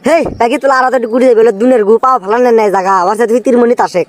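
A skinny, wisecracking cartoon lad teases sceptically in a Bogura accent. His pitch is extremely high and his delivery races along. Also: very fast. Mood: curious, cheeky. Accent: Bogura, northern Bangladesh. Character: skinny, gullible, wisecracking young male village friend. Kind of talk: comic cartoon dialogue.

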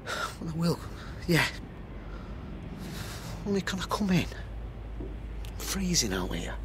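An actor in a radio drama playing an apologetic, evasive estranged husband, pleading hesitantly and repeating himself. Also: male voice, fairly high pitch, sing-song, slow pace. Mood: sheepish, defensive.

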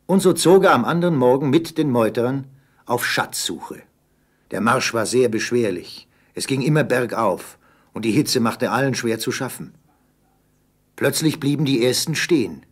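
A man narrating an adventure radio play in a scripted storytelling style. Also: medium pitch.

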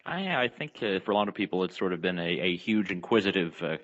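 A man with an American accent answers radio interview questions as a journalist guest. He speaks earnestly and haltingly, in a low voice. The sound is dull and lo-fi. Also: expressive, a bit bright.

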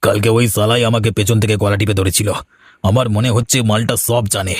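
A scheming village villain in a cartoon horror tale, acted by a man. The voice is on the low side and recorded dry. The delivery is theatrical and full of menace. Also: level tone, fairly quick, no room sound, lightly acted. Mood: vengeful. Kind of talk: Bengali horror cartoon dialogue.

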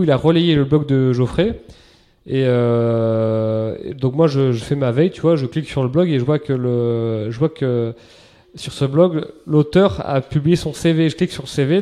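A man, a guest in a casual podcast interview, talking in a relaxed, chatty way with slang and many restarts. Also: medium pitch.

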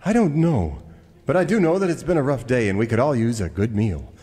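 A man playing a stalwart cowboy leader, deliberate and mock-heroic, in a western radio parody. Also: medium pitch, big pitch swings, warm tone, breathy edge, long reverb tail. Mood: earnest. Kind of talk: parody of old-time western radio acting.

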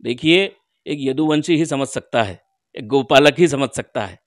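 A middle-aged man giving emphatic, deliberate political answers as an organisation's spokesman in an interview, into a handheld microphone. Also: medium pitch. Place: small furnished room indoors, seated on a sofa. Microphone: handheld reporter's microphone held close.

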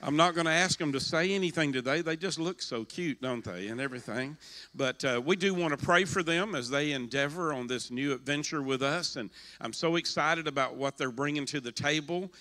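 An older man giving church announcements on a sanctuary stage, folksy and halting, on a handheld mic. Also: fairly high pitch, bright, forceful tone. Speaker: pastor.

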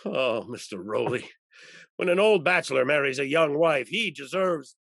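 A man's voice: medium pitch, lively intonation, normal speed, creaky, fairly bright tone, no echo, clean studio sound. A man in his fifties or sixties playing an exasperated, doting old husband in a period stage comedy, theatrical and sputtering. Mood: fretful. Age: 50s to 60s. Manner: blustering, theatrical, exclamatory, sputtering. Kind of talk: period comedy stage acting over video call. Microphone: video call.

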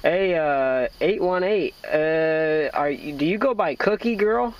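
An American man talking as a radio operator, trading call signs in a halting, folksy, friendly way. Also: fairly high pitch, distant mic, heavy background noise. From Iowa, United States.

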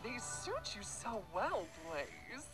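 Teasing young cartoon woman played by an actress, slow, swooping delivery under loud music. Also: a little high, huge pitch swings, full character voice, poor audio. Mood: playful, teasing.

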